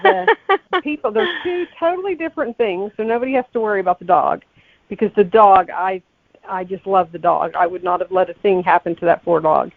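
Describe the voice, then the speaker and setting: A woman's voice: medium pitch, brisk, creaky. A woman guest, a novelist, chatting casually in an interview and thinking aloud over a narrow, phone-like connection. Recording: tinny, phone-like, narrow-band line.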